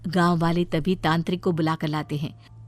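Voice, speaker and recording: A woman storyteller giving scripted narration for an animated children's scary story. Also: deep voice, moderate pace, faint music behind.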